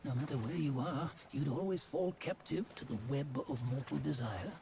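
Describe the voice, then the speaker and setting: An actor voicing a stern, judgmental sage in a video game cutscene, speaking in measured, archaic, sententious phrases. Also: male voice, a little high, expressive, creaky. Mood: reproachful. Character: stern, judgmental sage narrating old sins.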